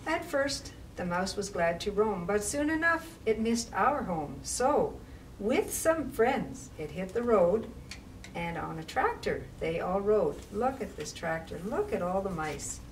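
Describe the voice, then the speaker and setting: A woman reading to young children as a library storytime reader, with measured, expressive phrasing and casual asides. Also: medium pitch. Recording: distant mic with faint background noise.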